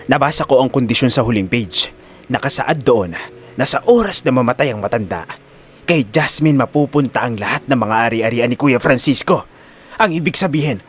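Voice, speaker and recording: A scheming father in an audio drama, played by a man. He sounds grave and tightly angry. His words come out deliberate and tense. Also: medium pitch, animated, breathy edge. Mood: grim, angry. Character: grim, angry scheming father bearing bad news. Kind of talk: audio drama character acting.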